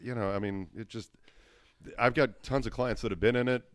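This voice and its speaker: A man with a loud voice, a podcast guest talking casually and rambling, with lots of "you know" fillers. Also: medium pitch, fairly even intonation, a little fast, smooth, dry recording, studio quality. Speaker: podcast guest, web business owner. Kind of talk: casual conversation.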